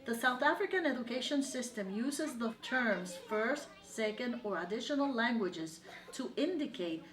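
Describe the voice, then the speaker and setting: A woman professor giving a measured, formal scripted narration. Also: a little high, expressive, fairly bright tone, echoey room. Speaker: education professor presenting.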